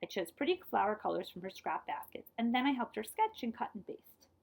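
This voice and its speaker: A woman reading a children's picture book aloud in an expressive, dramatized way, dropping to hushed phrase endings and adding short asides. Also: medium pitch, a little fast, gravelly, breathy edge.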